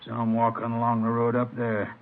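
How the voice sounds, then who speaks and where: An American actor playing a spiteful, small-minded neighbor with an insistent, gossipy manner in a 1950s radio crime drama. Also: male voice, mid-range voice, monotone, fairly quick, lightly acted. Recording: tinny, noisy old radio broadcast recording.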